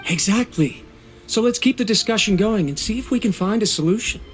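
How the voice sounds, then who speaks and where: An actor voicing an eager, upbeat young male servant in a game cutscene, with a bright, agreeable manner. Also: high-pitched, expressive, fairly quick, faint music behind.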